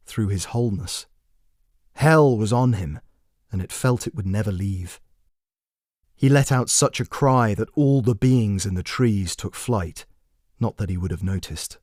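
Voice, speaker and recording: A man narrating a dramatic scripted fantasy story with measured, deliberate phrasing. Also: fairly low pitch, a little mellow, no echo, studio quality. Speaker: author and narrator.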